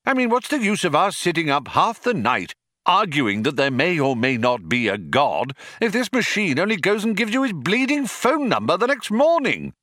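A man's voice: on the high side, wildly swooping pitch, moderate pace, pushed and bright, dry recording, studio quality, pitched above the performer's own voice, full character voice. A man voicing an angry, pedantic older philosopher, indignant and argumentative like a stroppy union man.

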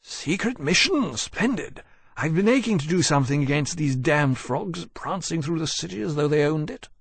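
A man voicing a drunk, jovial gentleman who talks in a boisterous, eager way. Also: sits high, wildly swooping pitch, normal speed, husky, no echo, pitched above the performer's own voice, exaggerated voice. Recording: dry close mic.

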